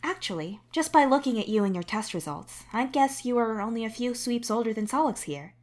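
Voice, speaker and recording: A woman voicing a friendly alien doctor in a podfic, measured, professional and reassuring. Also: a little high, lively intonation, a little mellow, voice pushed up, natural voice. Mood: kindly, professional.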